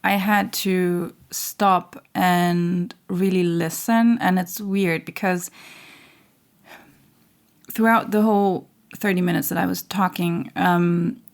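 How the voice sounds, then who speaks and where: A woman hosting her own spiritual channel, talking in a slow, searching way with many fillers and restarts while losing her voice. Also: fairly low pitch, fairly even intonation, gravelly. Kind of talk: reflective spiritual monologue.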